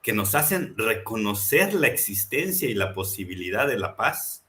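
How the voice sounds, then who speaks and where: Earnest man with a bright voice. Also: lowish voice, sing-song, moderate pace. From Mexico. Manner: earnest, impassioned, rhetorical repetition. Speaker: researcher presenting a book. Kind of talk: reflective book presentation talk.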